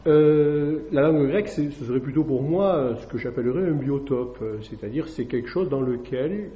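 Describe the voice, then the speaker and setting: A man's voice: mid-range voice, normal speed. A man, a classics teacher, giving a hesitant public talk to an evening audience, with many fillers and tag questions. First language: French. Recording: echoey dull room recording.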